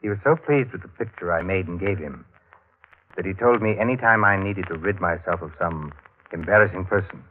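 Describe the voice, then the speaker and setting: A man playing a worldly, sardonic, enigmatic bohemian painter in a 1940s radio drama, speaking in a deliberate, wry, theatrical way. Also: deep voice, close to an everyday voice. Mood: wry, ominous. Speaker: supporting actor. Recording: muffled, noisy 1940s radio recording.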